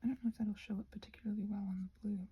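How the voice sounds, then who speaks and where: A woman rambling casually as she crafts for her ASMR channel, halting and self-deprecating, with a husky voice that often drops toward a whisper. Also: medium pitch, steady tone, phone-quality sound. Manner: halting, meandering, self-deprecating, searching for words.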